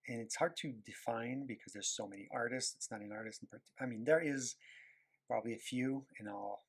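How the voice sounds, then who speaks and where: A man's voice: medium pitch, brisk, slightly rough. A man with a Quebec French accent, a native French speaker, chatting casually and searching for words as he talks while drawing. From Quebec, Canada. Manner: rambling, searching for words, many fillers. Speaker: animator and illustrator.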